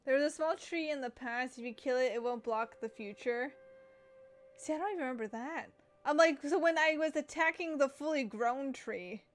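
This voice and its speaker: A woman with a high voice thinks aloud as she plays a game. Her commentary is casual and rambling, full of reactions, with faint music behind her. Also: normal speed. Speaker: gamer streaming a playthrough.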